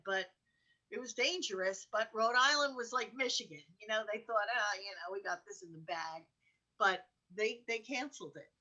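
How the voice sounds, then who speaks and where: A woman's voice: on the high side, bright, forceful tone, low-quality recording. An American woman hosting a talk show, chatting casually and telling personal anecdotes in an exclamatory way.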